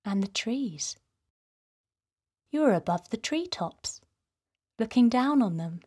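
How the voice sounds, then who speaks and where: A woman hosting a guided meditation for children, speaking in a gentle, soothing, softly breathy way. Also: fairly high pitch, animated, slow. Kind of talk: guided relaxation narration for children.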